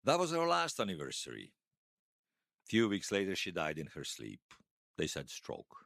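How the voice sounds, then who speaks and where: A man acting the part of a wistful, wry old hero in his seventies, reminiscing haltingly in a radio drama interview. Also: low voice, wildly swooping pitch, vocal fry, a bit bright, dry recording, heavily acted. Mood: tender, subdued. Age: 70s. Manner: halting, reflective, self-deprecating. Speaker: interview guest.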